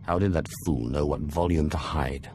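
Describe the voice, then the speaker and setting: A very deep-voiced Sith lord. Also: male voice, steady tone, moderate pace. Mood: suspicious. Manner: curt, imperious. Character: commanding male Sith lord, suspicious and curt. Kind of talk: video game cutscene dialogue. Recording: music behind the voice.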